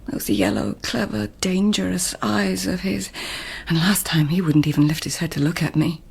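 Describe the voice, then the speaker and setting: A woman's voice: fairly low pitch, animated, moderate pace, creaky, slightly rough, full character voice. A woman playing a proud, melancholy Japanese court lady in a radio drama, speaking in a dry, world-weary way. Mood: brooding.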